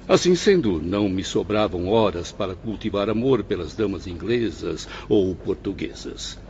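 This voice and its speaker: A man's voice: on the low side, darkish tone. A man playing a proud, gloomy, elegant professor, speaking formally and pompously in a radio drama. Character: Belarmino, proud, gloomy, elegant Portuguese professor, bitterly nihilistic. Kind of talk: solemn radio drama acting. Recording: dull lo-fi with faint noise.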